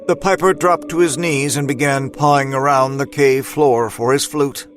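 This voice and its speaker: A man narrating a scripted dramatic story with deliberate, literary phrasing. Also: medium pitch, fairly even intonation, music in the background.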